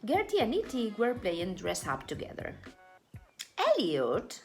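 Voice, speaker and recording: A woman narrates a children's storybook in a fairly high voice that rises and falls dramatically. She takes her time over each phrase, with faint music underneath. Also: wildly swooping pitch, normal speed, vocal fry, darkish tone. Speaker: storybook reader. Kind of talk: expressive read-aloud children's story narration.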